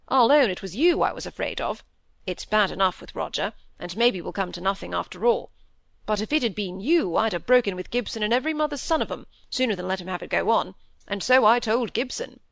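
A woman voicing a gruff, hot-tempered old country squire in an audiobook, blustering and emphatic, with rustic old-fashioned phrasing. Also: medium pitch, lively intonation, brisk, fairly bright tone, no echo. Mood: blunt, indignant. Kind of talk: audiobook character dialogue.

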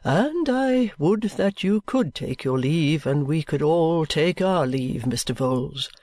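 A reader voicing a courteous, weary older gentleman who turns bitter about a long lawsuit. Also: fairly high pitch, animated, takes it slowly, no room sound. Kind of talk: audiobook character reading.

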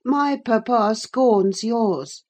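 A woman's voice: fairly high pitch, takes it slowly, a little mellow, voice pushed up. A woman voicing a sickly, spoiled boy in an audiobook, petulant, needy and spiteful. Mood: spiteful, taunting. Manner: petulant, wheedling. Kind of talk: dramatised audiobook reading of a classic novel.

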